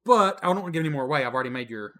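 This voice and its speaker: A man's voice: fairly high pitch, lively intonation, racing, pushed and bright. A man co-hosting a casual gaming podcast, running a trivia quiz in a playful, teasing way and laughing often. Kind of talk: casual retro gaming chat.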